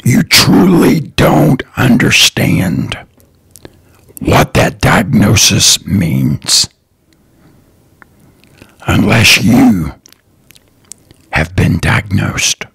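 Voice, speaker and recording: A man hosting his own podcast, talking slowly and earnestly in a raspy, partly whispered voice. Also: fairly low pitch, big pitch swings, fairly bright tone, no room sound, studio quality. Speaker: podcast host, lawn care business owner. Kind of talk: slow, earnest solo podcast talk.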